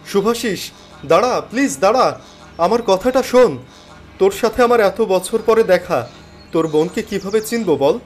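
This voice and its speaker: A man voicing a desperate, imploring job seeker in an animated thriller. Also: sits high, normal speed, soft background music, voice pushed up. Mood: desperate pleading. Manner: imploring, flustered. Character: desperate, pleading young male job seeker.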